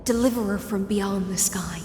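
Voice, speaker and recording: An actress playing a weary but resolute siren warrior woman in a video game, speaking in a measured, poetic, ceremonial way. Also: medium pitch, noisy background, close to an everyday voice. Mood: solemn, resolute. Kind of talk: dramatic video game voice acting. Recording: echoey long reverb over music.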